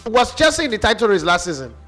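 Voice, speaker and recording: A man's voice: high-pitched, very animated, fast, sharp tone. A man arguing football on a sports radio show, boastful and mocking, with faint music behind. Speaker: football pundit. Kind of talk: heated sports radio debate.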